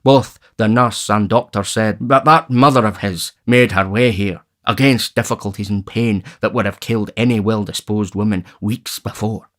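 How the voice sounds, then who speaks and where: A man reading aloud, voicing a pompous, bullying parish official who lectures in a deep, impressive tone. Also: steady tone, normal speed, few pauses, a bit bright, natural voice. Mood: lecturing. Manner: pompous and stern.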